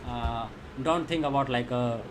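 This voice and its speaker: An Indian-accented man whose first language is Thethi talks casually as a live coding streamer. He speaks haltingly, circling back over phrases as he looks for the next word. His voice sits at a medium pitch, with clear noise in the recording. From Magadha region of India. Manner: halting, repetitive, searching for English words. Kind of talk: casual livestream chat.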